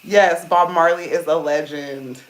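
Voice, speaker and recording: A woman with a deep voice hosting a casual hair-care livestream, chatting to viewers and calling them "you guys" while she steams her hair. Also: steady tone, a little slow. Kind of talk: casual live-stream chat reading comments. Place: indoors at home, seated on a small chair. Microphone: phone.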